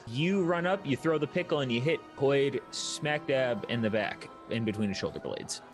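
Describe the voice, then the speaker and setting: A man's voice: medium pitch, expressive, normal speed, music in the background. A man narrating a tabletop roleplaying game as its game master, describing scenes and asking for checks.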